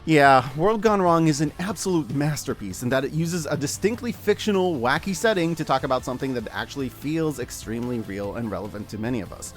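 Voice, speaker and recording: A man hosts a scripted media review in a casual, wry style. His voice sits a little high and moves up and down a lot, very expressively. Light music plays behind him. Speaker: host of a media review video. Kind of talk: scripted video essay narration. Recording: background music under the voice. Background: background music.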